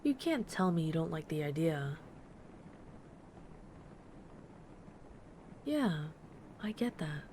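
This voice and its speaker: A woman playing a gentle, affectionate dragon friend in an intimate comforting audio roleplay, speaking softly and tenderly to the listener. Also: sits low, big pitch swings, a little hiss or hum. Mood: caring, reassuring. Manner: soft-spoken, tender, unhurried reassurance. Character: gentle, affectionate female dragon friend who loves soft, cuddly plushies.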